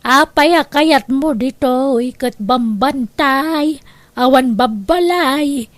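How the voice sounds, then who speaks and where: An elderly grandparent from the countryside, voiced by a woman in a radio drama. The speech is slow and drawn out, high in pitch, with a close, dry sound. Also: no echo. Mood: puzzled.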